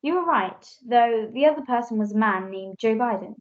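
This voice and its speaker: A woman's voice: on the high side, animated, normal speed, lightly acted. A young woman playing a mother who patiently explains and corrects, in an amateur radio play. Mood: explaining. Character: patient, correcting mother telling her child history. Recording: dull lo-fi home recording.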